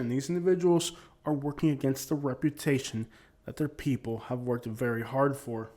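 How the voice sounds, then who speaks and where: A man's voice: mid-range voice, darkish tone. A man hosting an opinion channel, delivering blunt, emphatic commentary that keeps repeating its points. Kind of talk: opinionated commentary on arrest records.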